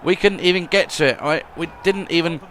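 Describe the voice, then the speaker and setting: A man narrates his football video game matches as a voice-over, rambling and repeating himself as he goes. His voice sits a little high for a man. It sounds bright and forceful. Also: fairly quick. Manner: rambling, repeats words, swings between gloom and excitement.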